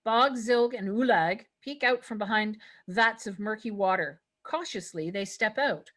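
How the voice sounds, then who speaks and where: A woman's voice: mid-range voice, unhurried, a bit bright. A woman reading stage directions as narrator in a scripted fantasy table read.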